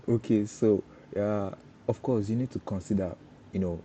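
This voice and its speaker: A man's voice: lowish voice, steady tone, measured pace, mellow, highs cut off, a little hiss or hum. A young Nigerian man, a Yoruba speaker, giving an informal talk on breaking into cybersecurity, often restarting and repeating words as he explains. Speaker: cybersecurity trainer.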